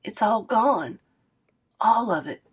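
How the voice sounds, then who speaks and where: A woman voicing a grieving, unconventional old grandmother, talking slowly and heavily in a story read aloud. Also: medium pitch, animated, breathy edge, heavily acted.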